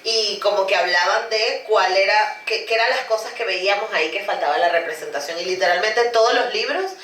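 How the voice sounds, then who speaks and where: A woman's voice: mid-range voice, fairly quick, a bit bright. A woman from Venezuela in her thirties hosts a conversation, thinking aloud in long stretches and often checking in with "¿no?". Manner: talks in long, reflective runs with many "¿no?" tags. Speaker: podcast host. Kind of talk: casual conversation. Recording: roomy sound from a distant mic.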